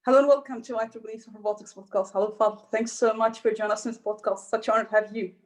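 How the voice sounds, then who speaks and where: Woman podcast host with a halting manner. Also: fairly high pitch, steady tone, slight background noise. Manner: hesitant questions, with fillers and restarts. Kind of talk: interview hosting.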